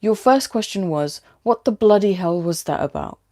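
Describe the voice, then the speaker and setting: A woman's voice: mid-range voice, lively intonation, moderate pace, a little mellow, natural voice. Woman acting evasive, dismissive London woman. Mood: evasive, weary. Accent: London British. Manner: dismissive, withholding. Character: evasive, coolly amused woman driver in a story.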